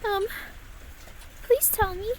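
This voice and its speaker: A woman voicing a frightened, grieving little girl in a fan audio drama, speaking in a timid, halting, childlike way. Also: very high voice, fairly even intonation, background noise. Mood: shyly curious.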